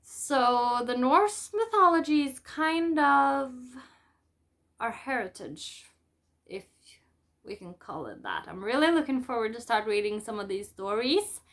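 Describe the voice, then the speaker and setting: This is a woman with a Norwegian accent, speaking English as a second language after her native Norwegian. Her voice sits somewhat high for a woman. She talks at a markedly unhurried pace, in the informal, chatty style of a book vlogger. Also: sing-song, a bit bright. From Norway. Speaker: book vlogger presenting a haul. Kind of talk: casual chat to camera.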